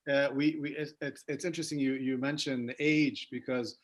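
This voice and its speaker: A man's voice: mid-range voice, little pitch movement, moderate pace. A man moderating a webinar, asking questions with many restarts and fillers. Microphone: video call.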